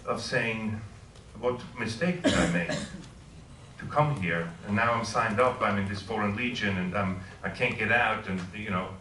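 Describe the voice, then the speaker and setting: Bright-voiced Swiss professor in an echoey hall. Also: male voice, on the low side, moderate pace, recorded from a distance, slight background noise. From Switzerland. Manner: informal, asks the audience questions. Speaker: guest speaker. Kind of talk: public talk with audience questions. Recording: echoey room, voice heard from afar.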